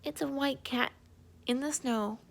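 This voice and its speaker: A woman's voice: sits high, measured pace, creaky, slight background noise. A woman teacher reading a children's storybook aloud.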